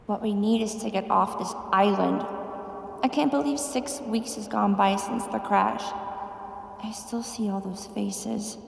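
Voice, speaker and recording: Woman acting creaky-voiced, weary female survivor. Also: mid-range voice, steady tone, measured pace, heavily acted. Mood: weary, irritable. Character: weary, frightened, snappish female plane-crash survivor. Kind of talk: audio drama acting.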